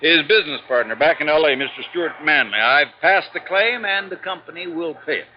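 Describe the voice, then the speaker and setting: An actor with an American accent playing an exasperated, dismissive insurance agent in a 1950s radio drama, grumbling and sardonic. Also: male voice, a little high, lively intonation, brisk, natural voice. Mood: matter-of-fact. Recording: dull vintage radio recording.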